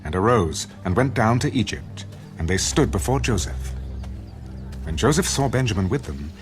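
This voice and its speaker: A man narrating a dramatized audio Bible in a solemn, measured storytelling style. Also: on the low side, expressive, faint music behind.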